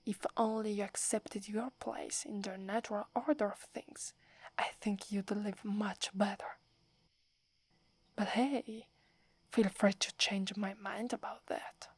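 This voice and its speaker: A woman's voice: mid-range voice, steady tone, slow pace, dry recording. Woman whispering as a proud Italian werewolf girl. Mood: haughty, wary. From Italy. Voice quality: soft breathy whisper. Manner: haughty but stammers when flustered. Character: proud wary young werewolf girl, tsundere. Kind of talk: whispered ASMR roleplay.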